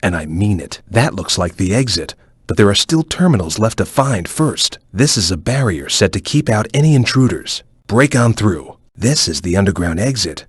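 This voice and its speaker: A man playing a calm, analytical ninja chameleon in a video game, giving wary hints in a deliberate, serious, husky voice. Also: lowish voice, no room sound, clean studio sound. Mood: cautioning. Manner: deliberate, serious, formal, cautioning. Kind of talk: video game character hint lines.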